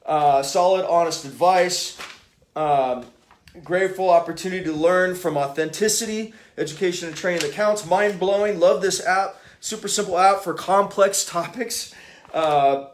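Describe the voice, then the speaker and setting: A middle-aged man from Hawaii hosting a casual live stream, reading reviews aloud in a halting way, full of fillers. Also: sits high, a little hiss or hum. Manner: halting, many uh and um fillers, enthusiastic. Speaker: author and app developer hosting a live stream.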